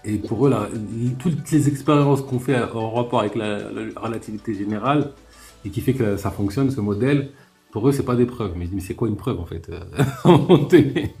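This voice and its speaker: Animated man chatting on a live stream. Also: mid-range voice, a little fast, soft background music. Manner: animated, laughs often, many restarts. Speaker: host of live debate stream. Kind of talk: casual live chat.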